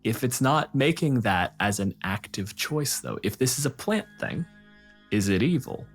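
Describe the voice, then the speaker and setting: A man's voice: medium pitch, expressive, measured pace, faint music behind. A man co-hosting a casual panel talk, explaining and arguing his points in a dry manner. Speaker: podcast co-host discussing tabletop game monsters.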